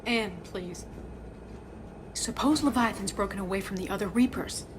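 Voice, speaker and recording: A woman's voice: mid-range voice, background noise, lightly acted. An actress playing an earnest, shaken female archaeologist in a video game, speaking in a hesitant, scholarly way. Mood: dazed, curious. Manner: hesitant, halting delivery. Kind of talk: cinematic video game dialogue.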